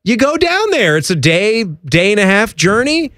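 A man's voice: high voice, big pitch swings, normal speed, a bit bright, dry recording, studio quality. A man co-hosting a casual sports talk show, chatty and joking.

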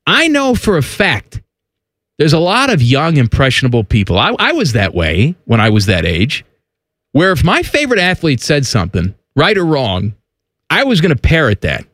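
A man's voice: fairly high pitch, wildly swooping pitch, moderate pace, fairly bright tone, no echo, clean studio sound. A man hosting a casual sports talk show, mocking and sarcastic in tone.

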